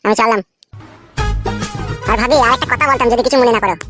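A woman's voice: on the high side, quick pace, music in the background, lightly acted. A woman doing a sly wheedling village man. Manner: wheedling, rustic dialect speech. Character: sly scheming young village man, go-between. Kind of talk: cartoon voice acting.

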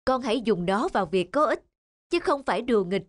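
A woman voicing a wise, caring mother chameleon in an animated fairy tale, soothing and moralizing. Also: fairly high pitch, a little fast. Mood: gentle, admonishing. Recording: music under the voice.

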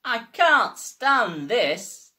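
A man's voice: extremely high pitch, very animated, bright, forceful tone, pitched above the performer's own voice, heavily acted. Man doing a wailing, exasperated storybook bear. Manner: drawn-out, wailing, melodramatic.

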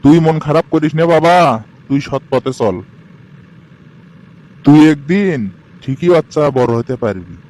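A kindly old village uncle from a cartoon, voiced by a man, offers moral comfort. His voice sits slightly high, with a consoling manner. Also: animated, measured pace, long pauses, a little mellow. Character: kindly elderly village uncle giving counsel. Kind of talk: amateur cartoon dialogue.

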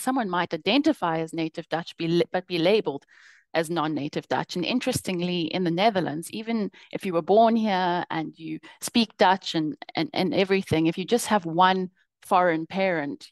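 A young woman presenting her research over slides on a video call, explaining in a measured way with occasional fillers and restarts. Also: fairly low pitch, no echo.

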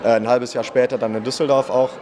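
A man's voice: medium pitch, little pitch movement, fast, a crowd in the background. A man in his thirties, a marketing manager and musician, answering interview questions in a chatty way with many fillers. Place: indoor room at a festival. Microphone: handheld mic held by interviewer.

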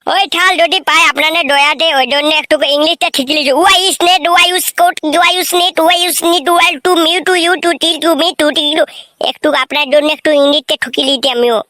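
Cartoon schoolboy, very high bright voice, cocky, eager, rambling, rural West Bengal accent. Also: female voice, moderate pace, dry recording. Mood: eager, showing off. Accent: rural West Bengal (Bankura). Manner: cocky, rambling, garbled pidgin English. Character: boastful, eager schoolboy mangling English answers. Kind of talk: comic cartoon voice acting.

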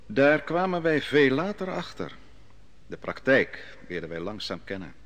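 A man's voice: mid-range voice, sing-song, a bit bright, muffled recording. An actor playing a grown exile who solemnly recounts his youth at a foreign king's court in an old radio play. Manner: measured, solemn storytelling. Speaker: narrating prophet. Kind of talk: 1950s Dutch radio drama acting.

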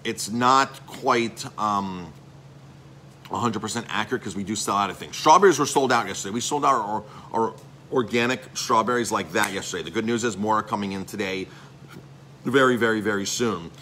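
A man's voice: fairly low pitch, normal speed, pushed and bright, slight background noise. A middle-aged man, a chef and restaurant owner, giving a rambling, salesy live-stream update with lots of filler words.

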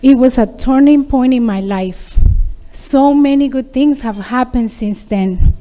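A woman's voice: high voice, measured pace, warm tone, rough recording. A middle-aged woman from Peru, giving an earnest prepared speech as a program graduate, with small restarts. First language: Spanish.

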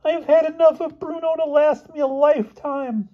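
A man's voice: extremely high pitch, steady tone, normal speed, dull audio, pitched above the performer's own voice. A man voicing a meek, plaintive schoolboy who is timid and easily wounded, in a children's audiobook. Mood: meek complaint. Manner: plaintive, squealing, put-upon. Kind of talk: children's novel audiobook character voice.

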